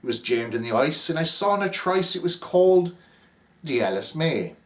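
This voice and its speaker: A man's voice: a little high, lively intonation, normal speed, fairly bright tone. A man with an Irish accent reciting a ballad as the narrator Cap, in a rhythmic, theatrical delivery. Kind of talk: dramatic poem recitation.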